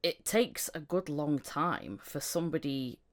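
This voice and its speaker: A woman's voice: low voice, expressive, unhurried. A woman hosting a casual interview, talking in an encouraging, reflective way. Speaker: podcast host.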